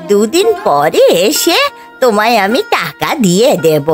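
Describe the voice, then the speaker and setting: A woman's voice: medium pitch, big pitch swings, measured pace, soft background music. A woman voicing a poor, elderly village woman in a cartoon, pleading plaintively. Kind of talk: cartoon voice acting.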